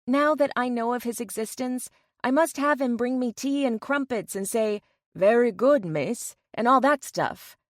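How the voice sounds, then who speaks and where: A woman voicing an excitable, playful young woman in an audiobook. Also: high-pitched, moderate pace, no room sound, clean studio sound, voice pushed up, natural voice. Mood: playful, eager.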